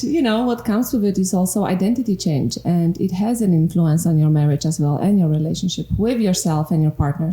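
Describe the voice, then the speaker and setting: A woman in her forties from Poland, a relationship coach speaking as a guest in a casual podcast chat, earnest and quick to laugh. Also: on the low side. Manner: warm, earnest, laughs often. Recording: echoey room.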